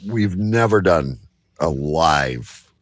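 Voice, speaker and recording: A man hosting a live online show in casual chat, joking and teasing with a halting delivery. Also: a bass voice, expressive, dry recording, clean studio sound.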